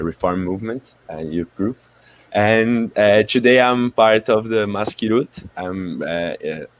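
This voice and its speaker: A man from Brazil introduces himself on an online panel and often stops to search for the right word. His voice is very deep. He fills the gaps with "uh" as he explains his role. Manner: searching for words, many fillers. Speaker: youth group leader.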